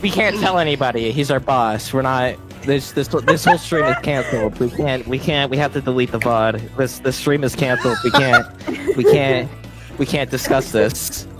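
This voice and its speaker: A man's voice: medium pitch, normal speed. A man on a joking group stream, laughing and mock-outraged. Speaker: streamer giving a joke slideshow talk. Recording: music playing behind.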